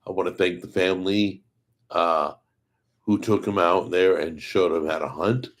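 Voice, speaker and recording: A middle-aged man with a hoarse voice, chatting casually with viewers as host of a live cooking stream. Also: sits low, fairly even intonation, normal speed. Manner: rambling, chatty, greets viewers by name. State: hoarse from a paralyzed vocal cord. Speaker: host of a cooking channel livestream.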